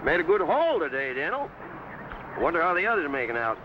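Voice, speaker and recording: An actor playing a carefree, stubborn American frontier trapper with folksy backwoods speech, in a vintage adventure serial. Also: male voice, on the high side, sing-song, quick pace. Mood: easygoing. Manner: folksy backwoods drawl and dialect. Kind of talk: 1950s adventure serial acting. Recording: muffled, noisy old broadcast soundtrack.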